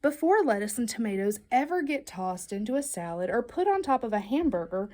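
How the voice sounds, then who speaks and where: Lady doing a kids storybook read-aloud, highish voice, really animated and expressive. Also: fairly quick. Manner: expressive, emphatic storytelling delivery with playful questions. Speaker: storybook reader. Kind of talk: children's read-aloud of a picture book.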